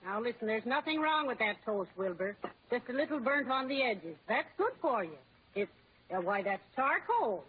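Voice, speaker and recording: An actress playing a bossy, well-meaning American housewife who nags insistently in an old-time radio comedy. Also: female voice, fairly high pitch, sing-song, normal speed, breathy edge. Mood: scolding. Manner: scolding, insistent. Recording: tinny, noisy old radio broadcast.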